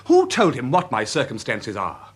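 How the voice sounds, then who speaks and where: An actor playing a proud, lovesick young English gentleman with formal, impassioned diction in a period radio drama. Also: mid-range voice, huge pitch swings, normal speed, slightly rough. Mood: shocked, bitter. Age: young adult. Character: lovesick, proud, hot-headed young gentleman, indignant at meddling.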